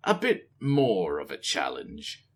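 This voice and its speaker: A man voicing a reserved, guarded older male spymaster in a low, understated way. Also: huge pitch swings, takes it slowly, slightly rough, exaggerated voice.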